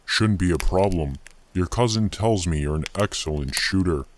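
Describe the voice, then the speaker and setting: Man voicing a deep, menacing masked kidnapper showman. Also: a little hiss or hum, lightly acted. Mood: cold threat. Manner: taunting, deliberate, theatrical. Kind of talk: acted villain in horror story narration.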